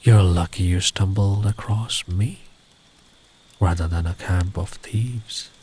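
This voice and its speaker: A man playing a big, kind traveller in the woods in an ASMR comfort roleplay, speaking gently and soothingly, often close to a whisper. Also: low-pitched, slow. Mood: reassuring. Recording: clear background ambient noise.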